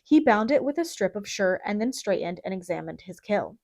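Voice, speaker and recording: A woman narrates an audiobook in a dramatic, scripted storytelling style. Her voice sits at a middle pitch for a woman, and the recording is close and dry, with very little room sound. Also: animated, fairly quick, gravelly, a little mellow, dry recording.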